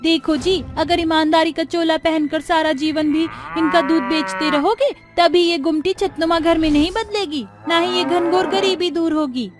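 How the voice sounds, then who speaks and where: A woman puts on a greedy cowherd's wife from a folk tale. Her very high voice nags and grumbles in colloquial speech over faint music. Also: normal speed, hardly any pauses. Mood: grumbling. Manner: nagging, colloquial.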